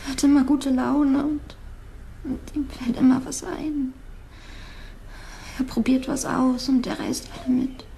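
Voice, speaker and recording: High, creaky, grieving girlfriend. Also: fairly even intonation, a little hiss or hum. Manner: soft, halting, fragile. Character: grieving young woman who lost her boyfriend. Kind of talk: German crime radio play acting.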